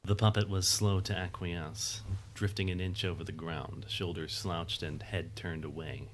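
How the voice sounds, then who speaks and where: A man with a low voice narrates a dramatic story. He reads scripted prose as the storyteller of an audio drama. Faint noise and ambience sit behind him. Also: steady tone, a little slow.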